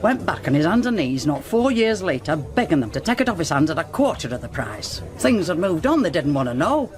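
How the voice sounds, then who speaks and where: A woman's voice: low voice, sing-song, brisk, soft background music. An actress playing a blunt, sardonic middle-aged club landlady in a radio drama. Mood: wry, blunt. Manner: blunt, wry, colloquial. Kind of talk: naturalistic radio drama acting.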